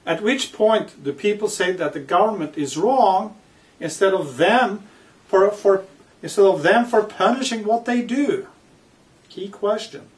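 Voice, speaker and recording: A man reading his own book aloud with commentary, speaking in deliberate, emphatic phrases broken by pauses. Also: high voice. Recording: distant microphone.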